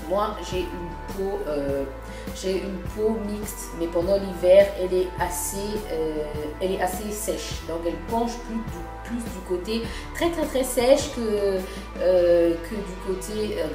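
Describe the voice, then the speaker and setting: A woman from Congo chatting casually as a beauty vlogger, hesitant and full of fillers and restarts. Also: mid-range voice. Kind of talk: casual beauty vlog talk. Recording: echoey room with music behind. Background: background music.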